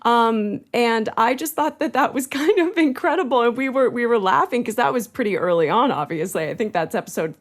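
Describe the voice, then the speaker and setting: A woman's voice: a little high, animated, fairly quick, hardly any pauses. A woman voice actor chatting as a podcast guest, speaking with enthusiasm and admiration. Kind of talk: casual podcast conversation.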